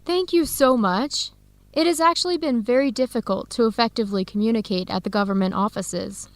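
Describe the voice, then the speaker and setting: An actress playing an eager, earnest young Ethiopian-American student researcher in a radio drama for English learners, speaking clearly and in measured phrases. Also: female voice, on the high side, dry recording, lightly acted. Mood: grateful, sincere. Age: young adult.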